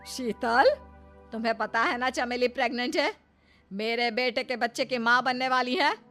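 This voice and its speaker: Woman playing a domineering, high-voiced older mother-in-law. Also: moderate pace, fairly bright tone, faint music behind, lightly acted. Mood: scolding. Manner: imperious, emotionally pressuring, scolding. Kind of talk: melodramatic Hindi cartoon story acting.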